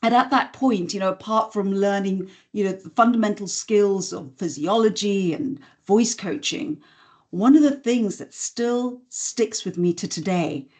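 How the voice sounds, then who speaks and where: A middle-aged woman from Singapore, a voice and communications coach, telling her story as a guest in a podcast interview in a measured, articulate way. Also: mid-range voice, darkish tone.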